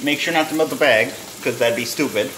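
A man in his twenties casually demonstrating home cooking to camera in a halting, rambling, self-deprecating way. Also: mid-range voice, a bit bright. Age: 20s. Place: small home kitchen and dining room. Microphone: handheld or propped phone near arm's length. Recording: roomy echo, kitchen noise with sizzling.

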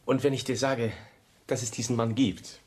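An actor playing an honest, self-doubting young architect in a radio drama, speaking in a halting, pleading way. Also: male voice, mid-range voice, fairly even intonation, a little mellow, lightly acted. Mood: earnest confession. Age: young adult. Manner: halting, repeats phrases, pleading. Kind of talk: German radio play acting, intimate dialogue.

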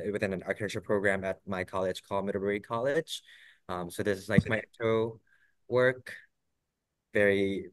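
Soft-spoken young man, smooth low voice. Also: no room sound. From Thailand. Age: 20s. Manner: hesitant, many ums and restarts. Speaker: portfolio presenter. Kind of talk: casual presentation. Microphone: video call.

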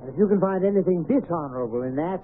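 An actor playing a forthright, lovestruck baronet in a radio mystery, speaking in a direct, gentlemanly way. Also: male voice, fairly high pitch, expressive, very fast, natural voice. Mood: defiant. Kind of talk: 1970s radio mystery acting. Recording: tinny, noisy old radio broadcast.

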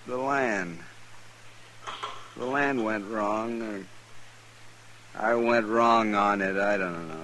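A washed-up frontier drunk in an old American radio western. He speaks slowly and haltingly, full of shame and self-pity, in a low voice with a forceful edge. A faint hiss runs under the old recording. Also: rough recording. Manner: halting, self-pitying, stammering. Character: ashamed, broken-down alcoholic failed homesteader on the frontier. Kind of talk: 1950s radio drama acting. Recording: hissy 1950s radio recording.

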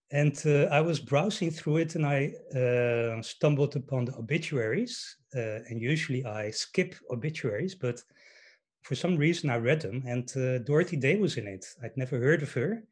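A man in his fifties from the Netherlands with a Dutch accent, telling a personal story in measured, short phrases on a video call. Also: medium pitch. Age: 50s. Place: indoor room at home, video call.

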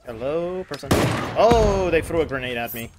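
A woman's voice: deep voice, moderate pace, heavy background noise. A woman playing a team game over voice chat, exclaiming and reacting to what happens. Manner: lively, exclaiming at game events. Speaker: teammate. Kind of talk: casual gaming chat. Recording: game music behind voice. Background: game music.

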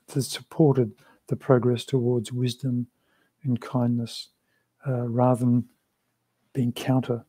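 Calm, measured older man. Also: medium pitch, no echo. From Australia. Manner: measured, thoughtful, unhurried. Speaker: interview guest, Buddhist practitioner. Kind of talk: reflective interview answers. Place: small room with a shrine, indoors. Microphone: video call.

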